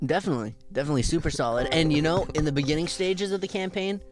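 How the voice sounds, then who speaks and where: A man's voice: fairly high pitch, racing, faint music behind. A young man in his twenties co-hosting a podcast, playfully riffing on jokes into a studio mic. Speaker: podcast co-host. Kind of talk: casual joking banter.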